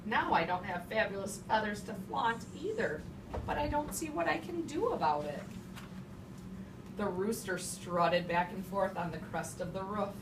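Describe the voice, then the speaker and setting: A woman teacher reads a storybook to a class and puts questions to the children. She sounds far from the mic in a roomy, clearly noisy space, and often echoes back what pupils say. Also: medium pitch, expressive, moderate pace. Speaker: teacher reading aloud to young children. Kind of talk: interactive storybook read-aloud with class questions.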